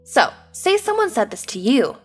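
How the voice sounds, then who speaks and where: A woman's voice: fairly high pitch, big pitch swings, normal speed, breathy edge. A woman playing a schoolteacher in a manga dub, putting probing questions to a class in an instructive way. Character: animated female schoolteacher posing questions to children. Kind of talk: scripted manga dub acting. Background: background music.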